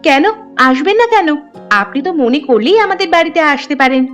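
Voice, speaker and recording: A woman's voice: sits high, animated, fairly quick, fairly bright tone, soft background music. A woman voicing a trusting, kindly and polite young village girl in a cartoon story. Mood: caring, puzzled.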